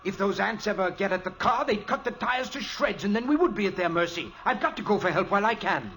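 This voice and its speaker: An actor playing an anxious, earnest scientist professor in a 1940s radio drama. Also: male voice, high voice, animated, fast talker, fairly bright tone, faint music behind. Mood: grim. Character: anxious scientist professor, middle-aged man. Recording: old radio recording with hiss.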